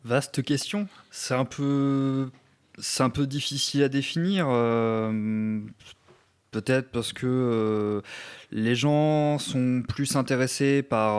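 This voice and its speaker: Male podcast guest with a smooth, clear voice, unhurried delivery, reflective and tentative. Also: medium pitch. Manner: tentative, searching for words. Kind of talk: thoughtful casual discussion.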